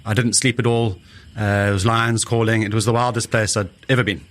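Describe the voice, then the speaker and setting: Low-pitched middle-aged South African man, reflective. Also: little pitch movement, a little fast. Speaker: conservationist and researcher, interviewee. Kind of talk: personal storytelling in an interview.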